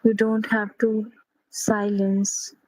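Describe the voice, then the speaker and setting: A woman leading a guided sleep meditation in a gentle, unhurried manner, pausing between short phrases. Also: medium pitch, little pitch movement, no room sound, low-quality recording.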